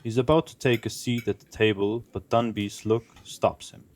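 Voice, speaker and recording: A man's voice: on the low side, steady tone, moderate pace, darkish tone. A man narrating an audio drama from a script in present tense as the storyteller.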